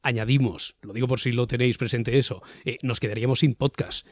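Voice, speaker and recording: A male host with a Castilian accent moderates a radio-style round table. His voice is mid-pitched and recorded very dry and close. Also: fairly even intonation, fairly quick, no room sound. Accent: Castilian Spanish. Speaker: host, journalist moderating a panel. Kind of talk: radio-style panel talk.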